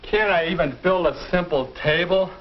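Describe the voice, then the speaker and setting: A man's voice: high-pitched, lightly acted. A young American man acting as an earnest teenage boy thinking aloud in a 1950s educational film. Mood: puzzled, focused. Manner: deliberate, self-questioning, earnest. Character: earnest teenage boy working out his future. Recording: dull vintage 1950s film soundtrack.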